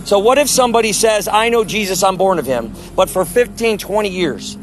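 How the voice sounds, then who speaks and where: A loud, emphatic man preaches by a street, citing verses and driving each point home. His voice sits a little high. Faint outdoor traffic hums behind him. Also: lively intonation, fairly quick, poor audio. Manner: emphatic, scripture-quoting, insistent. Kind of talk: impassioned preaching conversation.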